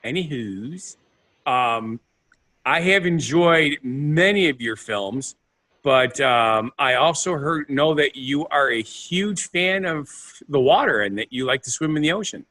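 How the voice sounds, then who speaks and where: A male podcast co-host chatting casually, playful and a bit rambling. His voice sits fairly high and bright. His pitch swings widely as he talks. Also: lively intonation, unhurried. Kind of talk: casual chat.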